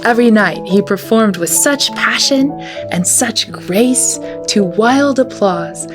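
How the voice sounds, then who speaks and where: A woman's voice: a little high, animated, slow pace, over music. A woman hosting a children's storytime, reading a picture book aloud in a storytelling style.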